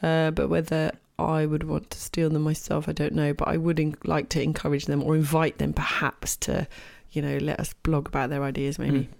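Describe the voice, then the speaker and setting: Woman, podcast co-host, slightly croaky, gravelly edge, hesitant, dry sound. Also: low-pitched, completely flat delivery, normal speed, few pauses, darkish tone, no echo.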